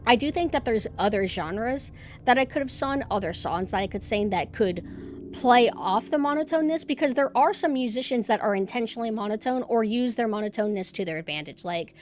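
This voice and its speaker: A woman streamer with a monotone voice, chatting casually and rambling with lots of fillers and restarts. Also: on the high side, brisk, slight background noise. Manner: rambling, self-deprecating, many fillers and restarts. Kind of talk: casual chat.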